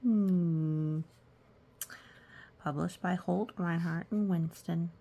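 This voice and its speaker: A woman reading aloud for children in a storytime style, gently explaining with casual asides. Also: lowish voice, fairly even intonation, slow pace. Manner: gentle explaining to young listeners. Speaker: storytime reader.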